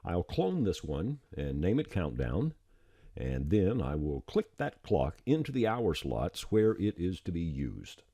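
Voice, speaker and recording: A man presenting a software tutorial as a step-by-step screencast voice-over, plain-spoken and informal, on a close mic. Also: low-pitched, big pitch swings, a little slow, slightly rough, dry recording.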